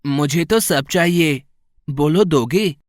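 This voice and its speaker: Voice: medium pitch, takes it slowly, no echo, studio quality, lightly acted. An actor doing a sweet, confident chubby little boy. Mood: playful.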